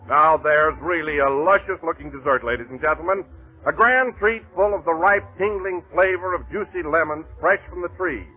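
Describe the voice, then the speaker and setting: A man working as a radio announcer, delivering a scripted 1930s advertisement in polished, flowery sales patter. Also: a little high. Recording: husky-sounding lo-fi 1930s radio recording.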